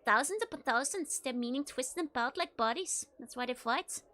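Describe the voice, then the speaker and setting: A woman's voice: high-pitched, lively intonation, normal speed, sharp tone. A Dutch-accented woman reading the lines of a weary male former sellsword, with a light dramatic tone. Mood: grim storytelling.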